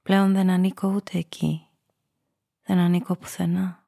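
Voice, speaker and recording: An actress playing a cynical, seductive femme fatale, confessing her story in an intimate, breathy way in a noir radio play. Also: on the low side, little pitch movement, dark tone, no room sound. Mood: bitter, confiding. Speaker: narrator and lead character.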